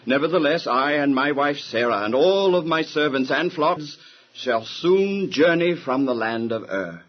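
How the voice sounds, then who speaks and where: An actor playing an earnest, obedient biblical patriarch and husband in an audio drama, with a husky, declamatory delivery. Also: male voice, on the high side, animated, a little slow. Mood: solemn resolve. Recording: dull lo-fi radio drama recording.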